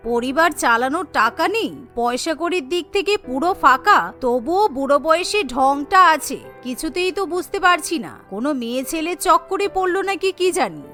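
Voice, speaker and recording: Woman as a nagging, sharp-voiced old wife. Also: high-pitched, pitched below the performer's own voice. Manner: nagging, sarcastic. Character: scolding, suspicious, sharp-tongued old wife and mother-in-law. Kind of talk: cartoon voice acting.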